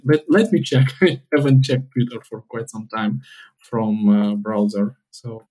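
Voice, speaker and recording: A man in his twenties speaks as a podcast guest, with thoughtful pauses and restarts. He sounds enthusiastic, and his voice sits at a medium pitch. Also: a little mellow, poor audio. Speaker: podcast guest, software developer. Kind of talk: casual podcast chat.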